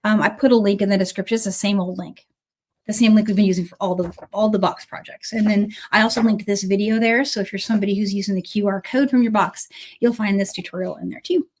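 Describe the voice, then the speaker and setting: A woman's voice: medium pitch, steady tone, fast talker, darkish tone. A woman with a cold, coughing now and then, hosting a casual livestream beading tutorial and chatting with viewers by name.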